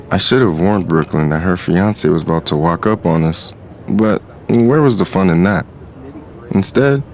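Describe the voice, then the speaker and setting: Young male hustler, lowish voice, cocky, sly, casual, streetwise, audio drama narration. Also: gravelly. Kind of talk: first-person dramatized story narration.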